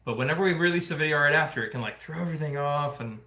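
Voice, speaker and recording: A man's voice: on the high side, racing, bright, forceful tone. A man hosting a casual family livestream, chatting and joking with viewers in an engaged, conversational way. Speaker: family vlog host. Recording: roomy indoor echo.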